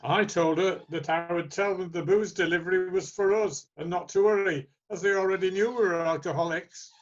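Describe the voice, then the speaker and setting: Man doing jokey old fella in a comedy sketch, wry, highish voice, muffled audio. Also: fairly even intonation, moderate pace, natural voice. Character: wry, jokey older man chatting with friends. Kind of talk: amateur comedy sketch reading.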